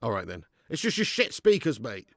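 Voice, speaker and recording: A man hosting a live fan watch-along of a football match at home, chatting to viewers with sweary banter and bursting into loud cheering at chances. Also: mid-range voice, wildly swooping pitch, a little fast, no room sound, clean studio sound. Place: at home in front of a camera.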